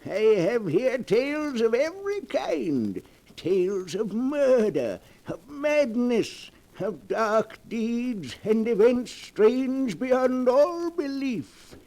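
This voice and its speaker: An actor playing a sinister old keeper of dark tales in a theatrical, savoring old-time radio horror style. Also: male voice, extremely high pitch, sing-song, exaggerated voice, rough recording. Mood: gleefully ominous. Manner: theatrical, drawn-out, savoring delivery. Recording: vintage radio recording.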